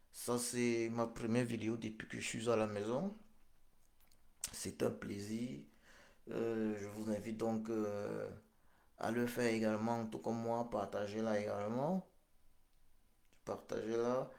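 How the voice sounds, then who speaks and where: A middle-aged man speaking in a very gravelly, low voice. He is still convalescing and talks slowly and solemnly, repeating himself and pausing on fillers as he hosts his live stream. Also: darkish tone. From Cameroon. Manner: solemn, slow and repetitive, with many fillers. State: convalescent after hospital stay. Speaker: political livestream host. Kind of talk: live-stream political address.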